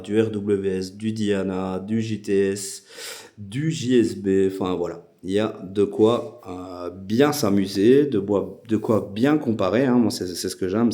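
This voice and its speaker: A Belgian man with a Belgian French accent, hosting a casual vlog and talking with many hesitant filler pauses. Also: on the low side, warm tone. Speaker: YouTube channel host.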